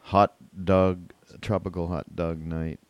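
A man's voice: sits low, flat delivery, slow, no room sound. A man hosting a casual podcast interview, effusive and repeating himself as he talks. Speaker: podcast host.

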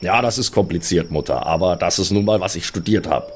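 A man's voice: sits low, a little fast, a little mellow, slight background noise. A man chatting casually as a player in an improvised tabletop role-playing game, partly speaking in character.

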